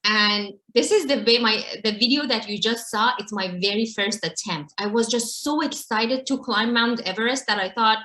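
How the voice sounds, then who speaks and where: Woman guest, earnest, restarts a lot, bright tone in a roomy echo. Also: fairly high pitch, moderate pace, hardly any pauses. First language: Farsi. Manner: earnest, many restarts and repeats. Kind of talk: casual interview talk.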